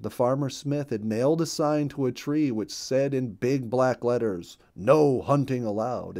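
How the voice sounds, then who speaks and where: Mellow, animated male storyteller. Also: mid-range voice. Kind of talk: children's story narration.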